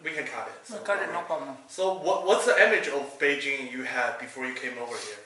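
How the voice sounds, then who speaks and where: A man asking interview questions in an informal, halting way, recorded in an echoey room at a distance. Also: medium pitch, bright, forceful tone. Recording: echoey room, distant mic, faint noise.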